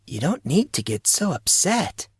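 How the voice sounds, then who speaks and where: Man putting on a high-pitched, sneering teenage girl. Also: very animated, a little slow, slightly rough, no room sound, clean studio sound, exaggerated voice. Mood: goading. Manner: taunting, sneering. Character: weakened teenage girl, sneering, goading, evasive. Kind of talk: audiobook character acting.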